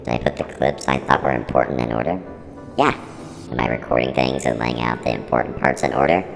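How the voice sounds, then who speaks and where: An extremely deep, gravel-heavy service unit speaks in a long reverb over music. It is blunt and flippant, quick to get defensive, and its pitch swings around in an analog horror web-series style. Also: male voice. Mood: scornful, amused. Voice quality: very deep, creaky, heavily reverberant. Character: snarky, evasive service-unit showrunner from a horror studio. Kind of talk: in-character mock interview.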